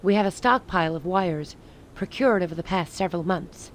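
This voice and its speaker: Grave, low-voiced woman leader, played by an actress. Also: fairly quick, slight background noise, natural voice. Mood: resigned, resolute. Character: grave, resolute woman leader of a besieged rebel city. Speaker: actress in a radio play. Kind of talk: dramatic radio play dialogue.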